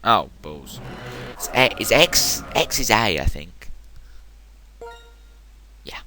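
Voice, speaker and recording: A man's voice: lowish voice, very animated, slow pace. A young man giving rambling, casual commentary while playing a video game. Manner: chatty, rambling, self-interrupting. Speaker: let's play gamer. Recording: game music loud behind the voice. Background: game music.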